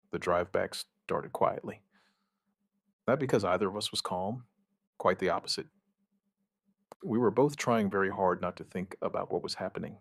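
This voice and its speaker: A man playing an outbreak survivor and protective partner, telling his story in measured, understated, short plain sentences as a scripted audio drama. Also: sits low, vocal fry, breathy edge, no room sound, clean studio sound, exaggerated voice. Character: male outbreak survivor recounting events, steady and protective partner. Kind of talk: first-person scripted narration of apocalyptic audio drama.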